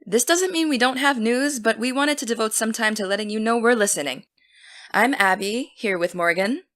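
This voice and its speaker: An actress playing an earnest teenage girl who hosts a podcast, keeping things steady and businesslike. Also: fairly high pitch, normal speed, lightly acted. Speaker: podcast host. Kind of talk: scripted audio drama.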